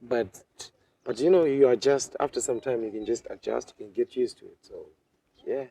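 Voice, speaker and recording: Husky-voiced man from Zimbabwe, hesitant. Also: medium pitch, normal speed, dark tone, low-quality recording. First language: Shona. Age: 20s. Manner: hesitant, repeats words while searching. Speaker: interview guest. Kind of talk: casual interview answers.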